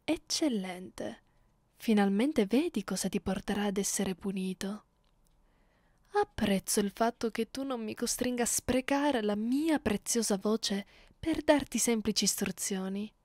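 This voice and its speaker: A woman voicing a haughty, cruel, centuries-old vampire mistress in an ASMR roleplay, imperious and taunting in a measured way. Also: medium pitch, darkish tone, no echo, natural voice. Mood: haughty, bored.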